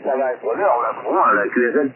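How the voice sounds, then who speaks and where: A man's voice: mid-range voice, lively intonation, brisk, background noise. A man chatting casually as a radio amateur over a tinny transmission. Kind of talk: casual ham radio chat. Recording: tinny radio link with voices and clutter behind.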